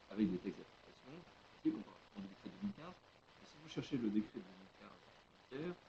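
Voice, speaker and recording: Guy doing a webinar explainer, lots of vocal fry, kinda halting and rephrasing, muffled lo-fi audio. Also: medium pitch, slight background noise. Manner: hesitant, halting delivery with rephrasing. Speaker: webinar presenter, legal expert. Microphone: web conference connection. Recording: dull lo-fi web conference audio.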